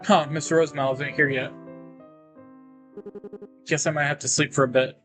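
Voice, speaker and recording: A man's voice: a little high, fairly even intonation, moderate pace, over music. A man reading visual novel dialogue aloud in character, as a gaming video host. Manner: acts out characters' lines while reading.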